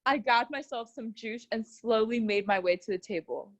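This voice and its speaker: High-voiced woman reading a story aloud. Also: moderate pace. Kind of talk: scripted narration read aloud.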